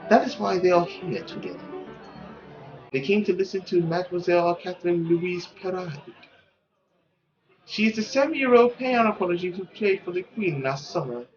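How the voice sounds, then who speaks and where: A performer voicing a suave, courtly French marquis, gracious and gently condescending in theatrical, flowery, unhurried speech. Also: mid-range voice, natural voice. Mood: smooth, condescending. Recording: dull lo-fi audio with faint music.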